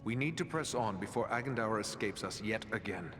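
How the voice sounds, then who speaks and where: A man's voice: lowish voice, lively intonation, lightly acted. Man as an earnest ranger hero, echoing hall. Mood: concerned. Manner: formal, earnest, measured. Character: earnest, steadfast human ranger hero, concerned leader. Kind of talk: epic fantasy game voice acting. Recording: long reverb, music behind the voice.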